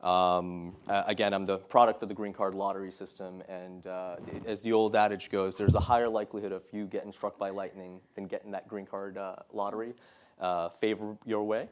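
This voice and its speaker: A man in his thirties giving an earnest, measured public talk to an audience as a guest speaker, pausing often on "uh" and "um". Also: low voice, little pitch movement, clear, resonant tone. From Saudi Arabia. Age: 30s. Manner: earnest, measured, many "uh" and "um" fillers. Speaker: guest speaker, Marine officer.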